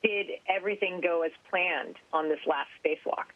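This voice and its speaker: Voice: mid-range voice, normal speed, dry recording. A podcast host interviewing a guest, asking questions in a curious, chatty way. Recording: tinny phone-like line, dry.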